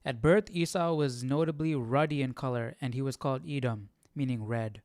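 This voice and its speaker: A man's voice: mid-range voice, normal speed, darkish tone, dry recording, studio quality. A man hosting a livestream Bible study, commenting informally and thinking aloud as he follows along. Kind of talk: casual live commentary on a reading.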